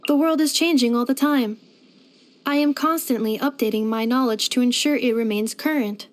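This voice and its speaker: Actress as female robot helper for a game: high voice, formal, precise, literal-minded, unfailingly polite. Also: moderate pace, natural voice. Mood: earnest, curious. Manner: formal, precise, clipped system-report phrasing. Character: polite, helpful female robot maid companion, earnest and literal. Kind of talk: scripted video game character voice lines.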